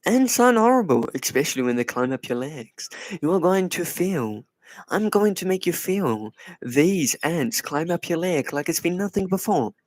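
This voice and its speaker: A man hosting a casual livestream, playfully teasing and answering his chat in a soft, put-on voice. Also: on the high side, expressive, normal speed, no room sound. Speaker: livestream host. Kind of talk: casual live chat with viewers.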